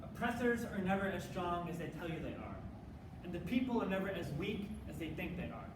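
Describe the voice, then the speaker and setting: A man performing rehearsed, dramatic spoken word in a measured, theatrical manner. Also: sits high, sing-song. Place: live competition performance in a room. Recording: echoey room, distant mic, faint noise.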